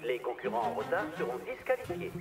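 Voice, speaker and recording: A man's voice: mid-range voice, very animated, fairly quick, far from the mic, loud music behind the voice, natural voice. A man voicing a formal, ceremonious horse show announcer in a cartoon dub. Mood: formal announcing. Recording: echoey loudspeaker sound from afar, noisy.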